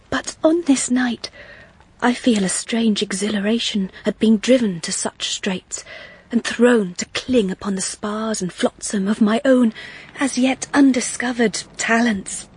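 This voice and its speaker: An actress playing an earnest, wistful young woman narrating her story in a measured, literary period-drama style. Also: fairly high pitch, a little mellow, husky, poor audio. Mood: hopeful.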